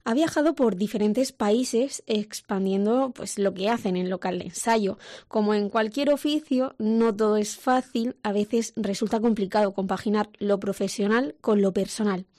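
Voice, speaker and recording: A woman narrates a TV news feature with a mellow, warm tone. Her reading is measured and composed. The sound is dry and close. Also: medium pitch, dry recording. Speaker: TV reporter. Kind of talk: scripted news report narration.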